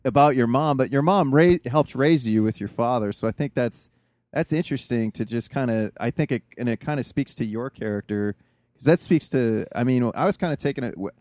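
A man's voice: medium pitch, fairly quick, darkish tone, no echo. A man hosting a casual interview, asking rambling, hesitant questions full of fillers. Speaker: podcast host.

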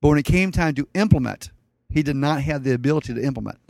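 A man's voice: on the high side, quick pace, gravelly, darkish tone, no room sound. Blunt, wry middle-aged man. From Colombia. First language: Spanish. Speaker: guest. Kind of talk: casual storytelling.

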